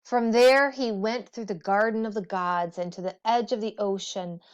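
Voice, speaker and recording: A woman reading a storybook aloud as a teacher would, expressively and deliberately, with dramatic pauses. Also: medium pitch, fairly even intonation, smooth. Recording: dull, muffled lo-fi audio.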